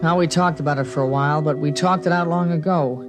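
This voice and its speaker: A man's voice: on the high side, steady tone, quick pace, music in the background, natural voice. An actor playing an earnest, reflective teenage boy who narrates a 1950s radio drama.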